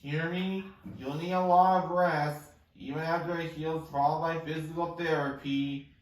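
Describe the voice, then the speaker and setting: A man's voice: fairly high pitch, steady tone, moderate pace, lightly acted. A man reading the part of an earnest doctor at an amateur musical table read. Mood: serious. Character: earnest male doctor giving orders. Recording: distant mic in echoey room.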